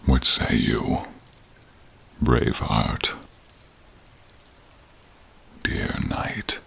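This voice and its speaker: A solemn, caring dragon, speaks in a cavernously low register full of rough rumble. He is slow and deliberate, addressing the listener formally in a fantasy audio roleplay. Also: steady tone, bright, forceful tone, heavily acted. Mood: earnest, tender. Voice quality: very deep, gravelly, husky, breathy. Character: old wise protective male dragon, grave and caring. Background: rain and cave ambience.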